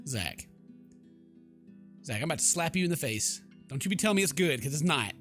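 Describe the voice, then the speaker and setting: A man's voice: a little high, expressive, brisk, a bit bright, soft background music. A man giving casual, sarcastic, joking commentary as he plays a game. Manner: playful, sarcastic, exclamatory. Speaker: gaming YouTuber, let's player.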